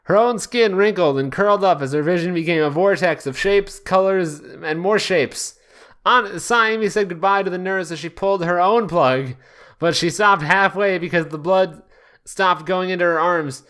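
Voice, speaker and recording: A man's voice: high voice, normal speed, a bit bright. A man hosting a podcast, reading fan fiction aloud in a casual comedic way and stumbling over the odd word. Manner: often breaks into laughter, stumbles over words. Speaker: podcast host.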